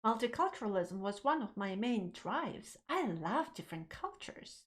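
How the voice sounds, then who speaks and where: A woman's voice: medium pitch, very animated, normal speed. A middle-aged woman from Ukraine with a Ukrainian accent, hosting a scripted explainer and talking emphatically to the viewer with rhetorical asides. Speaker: activist, channel host. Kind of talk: scripted direct-to-camera explainer.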